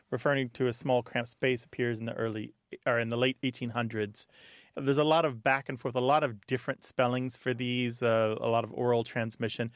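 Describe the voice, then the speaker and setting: A man's voice: medium pitch, dry recording, studio quality. A man co-hosting a call-in show, casually explaining things in a conversational way. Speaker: radio show co-host.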